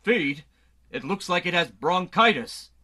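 A man playing a breezy, dim-witted Earthman hero in a radio serial, earnest and melodramatic, with a husky voice. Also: sits high, expressive, unhurried, bright, forceful tone, full character voice. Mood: melodramatic alarm. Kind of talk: old-time radio serial acting.

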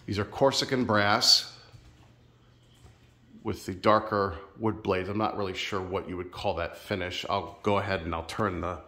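A man filming a casual home vlog in his sunroom, a little nasal and stuffed up from a sinus infection, talking in a relaxed, rambling, friendly way. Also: sits low, moderate pace. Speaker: ceiling fan collector vlogger. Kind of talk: casual show-and-tell vlog.

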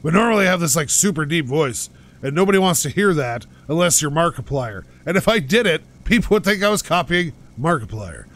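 A man hosting a driving game playthrough, pushing his voice out loud and energetic as he rambles and pokes fun at himself from his couch. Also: on the high side, brisk. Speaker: gaming channel host. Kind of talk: casual let's-play commentary. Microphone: home microphone while playing on a couch.

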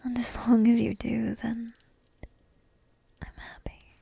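A woman's voice: fairly high pitch, monotone, takes it slowly, mellow, heavily acted. A woman playing a petite, entitled but devoted dragon princess in a whispered, coy and intimate fantasy ASMR roleplay. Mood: fond, playful. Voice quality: breathy, whispery. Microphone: close microphone, dry.

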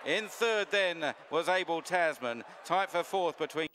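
A man's voice: fairly high pitch, animated, measured pace, a bit bright, slight background noise. A man giving live horse racing commentary, describing the field in a running call that can build to urgency.

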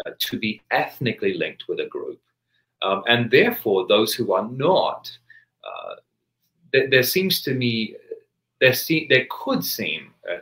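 A man's voice: deep voice, fairly bright tone, poor audio. A man in his thirties from England, a priest giving a careful interview answer over a video call, hesitating and restarting often. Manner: measured, many restarts and hesitations. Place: home study with bookshelves, video call.

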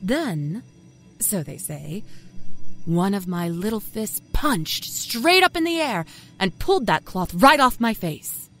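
A sly small-town Southern woman tells her own dark tale like a practised liar. She is wry, folksy and confiding, with a very animated, swinging pitch. Music plays softly behind her narration. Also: takes it slowly, exaggerated voice. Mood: wry, storytelling. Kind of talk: dramatic first-person horror story narration. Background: musical score.

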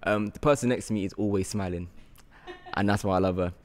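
A man's voice: on the low side, quick pace. A British man in his twenties hosting a friendly, casual interview, effusive and repeating words for emphasis. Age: 20s. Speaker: podcast host and interviewer. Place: bright loft-style room indoors, on a sofa. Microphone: handheld microphone.

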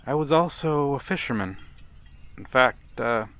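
A man as a slow-speaking, courtly vampire stranger. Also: mid-range voice, lively intonation. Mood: melancholy, reflective. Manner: gentle, formal, halting with soft chuckles and sighs. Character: wistful, courteous old-world male vampire stranger by a harbour. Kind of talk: ASMR audio roleplay, slow intimate monologue.

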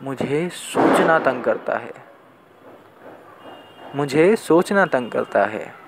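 A young man reciting spoken-word poetry in a deliberate, dramatic manner, with weighted pauses and repeated phrases. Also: medium pitch, lively intonation, slight background noise, low-quality recording. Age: young adult. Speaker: student poet.

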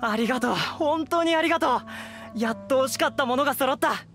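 Earnest young adventurer. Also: male voice, very high-pitched, brisk, a bit bright, soft background music. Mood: grateful. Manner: earnest, eager. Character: naive, eager young male adventurer, sincere. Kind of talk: Japanese game character acting.

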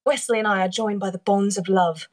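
A woman's voice: medium pitch, steady tone, brisk, close to an everyday voice. A woman playing a proud, defiant young princess with emphatic, scornful delivery in an online table read. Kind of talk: table read acting over video call.

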